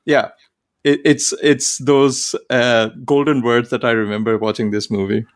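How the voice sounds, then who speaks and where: A man co-hosting a podcast, recalling his college days in a relaxed, storytelling way with fillers like 'you know'. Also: mid-range voice, unhurried. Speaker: podcast co-host. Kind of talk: casual film discussion.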